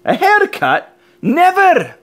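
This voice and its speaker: A shaggy Highland cow from a children's storybook, acted by a man. He is stubborn yet easily nervous and speaks with a Scottish accent. His pitch swings freely. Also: huge pitch swings, slow pace, a bit bright, heavily acted. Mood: indignant. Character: shaggy toffee-loving male Highland cow, stubborn but timid.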